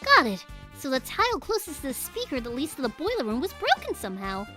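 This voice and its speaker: Earnest penguin detective, played by a woman, easygoing and friendly, bright tone, light music behind. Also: sits high, huge pitch swings, fast, pitched above the performer's own voice, heavily acted. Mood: calm, friendly. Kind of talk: character voicing in a fan mystery story.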